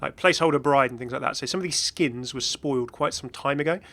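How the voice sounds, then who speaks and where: A man hosting a gaming video with casual, excited reaction commentary in a rambling, exclamatory way. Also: medium pitch, quick pace. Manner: rambling, exclamatory, chuckling asides.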